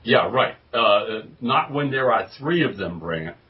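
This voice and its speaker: A man playing a stammering, sardonic captive in a parody radio drama, halting between words. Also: lowish voice, lively intonation, muffled recording. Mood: flustered, sardonic. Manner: halting, stammering, full of uhs. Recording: faint background noise.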